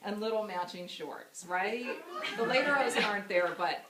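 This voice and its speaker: A woman's voice: mid-range voice, moderate pace, very noisy. Woman sharing memories in an echoey hall. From United States. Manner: warm storytelling with frequent laughs and fillers. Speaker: daughter giving a memorial speech. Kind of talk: personal memorial speech. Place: memorial gathering room.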